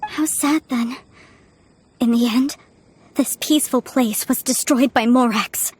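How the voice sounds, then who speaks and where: Earnest, high-voiced young archaeologist. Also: female voice, takes it slowly, darkish tone, slight background noise. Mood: wistful. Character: earnest, devout young female amateur archaeologist. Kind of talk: video game voice acting.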